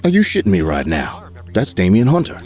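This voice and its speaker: Man acting scathing low-voiced newsroom boss. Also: wildly swooping pitch, brisk, a little mellow. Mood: furious. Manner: scathing, sarcastic. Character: furious, contemptuous male newsroom boss, foul-mouthed. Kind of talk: dramatic audio drama acting.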